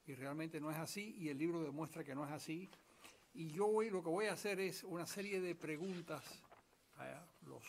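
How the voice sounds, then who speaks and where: A middle-aged man moderates a book panel from a conference table, speaking through a stand mic. His voice is gravelly and sits slightly high. He talks in a measured way and sometimes restarts a phrase partway through. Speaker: moderator asking questions.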